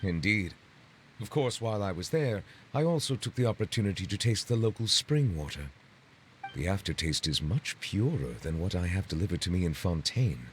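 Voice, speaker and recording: An actor playing a courteous, solemn dignitary in a video game, speaking in formal, measured, ornate phrases. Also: male voice, low voice, expressive, a little hiss or hum. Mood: courteous, earnest. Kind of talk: video game dialogue acting.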